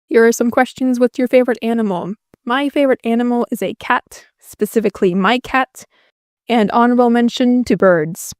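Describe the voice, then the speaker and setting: A woman's voice: a little high, moderate pace, a little mellow, dry recording. Young Finnish-native woman YouTuber, casual Q&A voice-over, self-mocking, dry close mic. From Finland. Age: young adult. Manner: self-deprecating, dry jokes.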